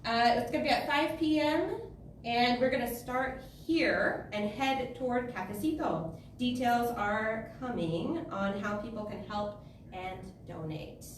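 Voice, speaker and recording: A woman's voice: a little high, a little slow. A woman giving informal, chatty and upbeat community announcements to a gathering at a spiritual center. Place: large echoey meeting room, heard from a distance. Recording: echoey hall, distant mic, background noise.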